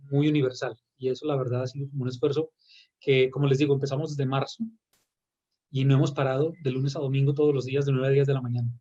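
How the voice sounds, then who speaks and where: A man speaking on an online panel, explaining his work with frequent pauses and restarts. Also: medium pitch, warm tone, highs cut off. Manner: hesitant, many restarts. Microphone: video call.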